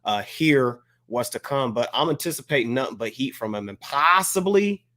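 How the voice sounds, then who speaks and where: A man's voice: medium pitch, expressive, moderate pace, bright, forceful tone. A man talking casually as a panelist on a hip hop talk show, using slang and repeating phrases for emphasis.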